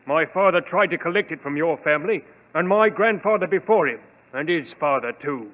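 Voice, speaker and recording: An actor playing a bitter, defiant man, theatrical and forceful in 1940s radio style. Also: a little high, lively intonation, brisk, lightly acted. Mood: bitter indignation. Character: aggrieved, defiant British claimant to a family inheritance. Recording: echoey, tinny vintage radio recording.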